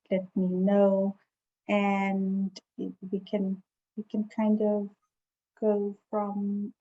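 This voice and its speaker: South african lady explaining stuff on a video call, mid pitch, kinda dull lo-fi audio. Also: dark tone, slight background noise. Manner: explanatory, conversational. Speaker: presenter, estate planning attorney. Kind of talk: informal online seminar talk. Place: online video call with virtual background.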